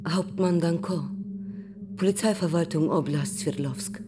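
An actress playing a cool, determined police captain questioning a prisoner in a German radio drama. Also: female voice, on the low side, a little slow, background noise, lightly acted. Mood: cool, probing. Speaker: actor.

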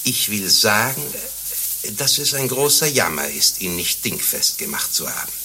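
A man's voice: on the low side, very animated, moderate pace, pushed and bright, slight background noise, full character voice. A male actor playing a stern, pompous Inquisition inspector in a satirical radio play, with formal, indignant and ornate speech. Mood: stern, grave.

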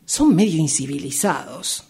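A woman playing a husky, grumpy old Argentine lady. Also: low voice, very animated, moderate pace, warm tone, heavily acted. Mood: disapproving. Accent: Argentine Rioplatense. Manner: complaining, exclamatory, melodramatic. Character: grumpy, pious retired old woman, indignant neighbour-hater. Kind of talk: amateur radio drama acting.

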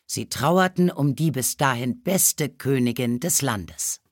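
A woman's voice: a bass voice, animated, slow, dry recording. Woman narrating a storybook, super dry recording no room sound. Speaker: audiobook narrator.

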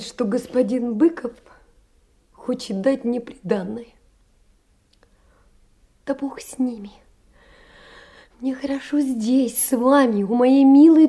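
An actress playing a gentle, frail, earnest young woman in a Russian literary stage drama, speaking softly and plaintively. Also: fairly high pitch.

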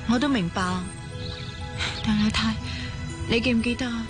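A woman's voice: a little high, steady tone, normal speed, natural voice. An actress with a Hong Kong Cantonese accent playing a devoted, persuasive woman in love in a radio drama. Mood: tenderly persuasive. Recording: music behind the voice.

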